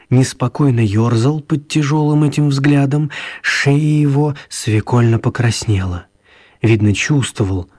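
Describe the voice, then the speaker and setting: A man narrating a scripted children's story in a measured, expressive manner. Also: medium pitch, breathy edge. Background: music.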